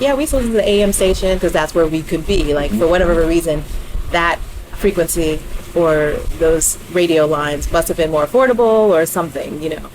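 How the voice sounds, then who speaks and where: A woman's voice: low-pitched, slight background noise, poor audio. A woman, interviewing a guest casually as a podcast host.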